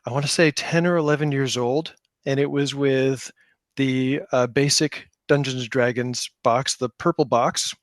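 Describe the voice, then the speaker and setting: A man's voice: mid-range voice, steady tone, measured pace, no room sound, clean studio sound. A middle-aged man talking casually as an interview guest, with an enthusiastic, self-deprecating manner. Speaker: podcast guest, game creator.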